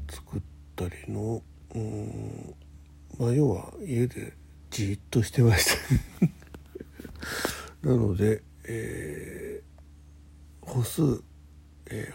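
Guy doing a casual solo morning radio chat, really raspy breathy voice, kinda mellow and muted, mumbles to himself as he thinks. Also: lowish voice. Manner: halting, thinking aloud, murmuring to himself. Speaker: host of a personal morning radio show. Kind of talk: casual solo chat with health log and listener letters.

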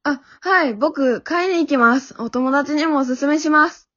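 A woman's voice: high-pitched, moderate pace, a bit bright. A woman playing a timid, frail young male dog who speaks politely and hesitantly, in an amateur comedy radio drama. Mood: polite, shy. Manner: hesitant, stammering, apologetic. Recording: dull lo-fi audio.

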